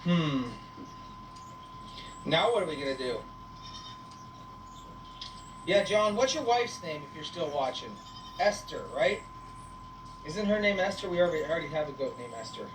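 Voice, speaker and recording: A woman's voice: sits low, expressive, moderate pace, long pauses. A woman hosting a casual livestream on a goat farm, playfully teasing and chatting to viewers and animals. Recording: echoey, noisy farm livestream, distant mic.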